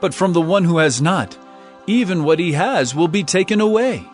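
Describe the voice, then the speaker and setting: An actor playing an earnest male teacher of parables in a dramatized scripture reading, with measured, emphatic, declamatory phrasing. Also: sits high, lively intonation, soft background music. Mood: earnest, solemn.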